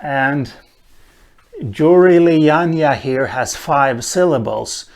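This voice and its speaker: Slow, thoughtful man with Swedish roots. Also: fairly high pitch. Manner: slow and thoughtful, with many "uh" pauses. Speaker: language teacher. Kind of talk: unhurried spoken lesson on a language.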